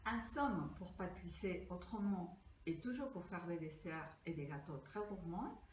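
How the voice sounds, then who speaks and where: An older woman from Peru, a pastry cookbook author, gives an earnest, deliberate crowdfunding pitch, reading partly from her notes. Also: a little mellow, a little hiss or hum. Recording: echoey room, mic at a distance.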